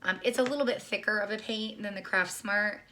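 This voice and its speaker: A woman with a scratchy, hoarse voice from being sick, casually talking through a painting tutorial with lots of ums and restarts. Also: mid-range voice, brisk, bright, forceful tone. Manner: chatty, many ums and restarts. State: hoarse, recovering lost voice. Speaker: painting instructor.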